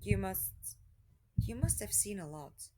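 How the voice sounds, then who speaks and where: A woman's voice: mid-range voice, sing-song, slow, mellow, reverberant. A woman in an audio drama playing a spirited, inquisitive young travelling companion, with an exclaiming, questioning delivery. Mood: probing.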